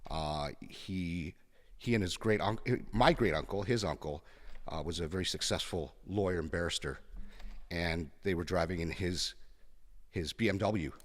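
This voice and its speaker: Very deep-voiced Canadian man, reflective. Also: moderate pace, long reverb tail. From Canada, born in Kenya. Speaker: podcast guest. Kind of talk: personal storytelling in an interview.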